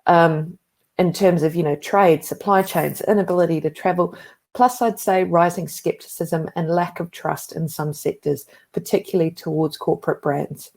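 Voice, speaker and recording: A woman's voice: lowish voice, fairly even intonation, moderate pace, darkish tone. A woman with a New Zealand accent giving a conference talk, telling stories in a conversational way with frequent asides. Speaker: conference speaker.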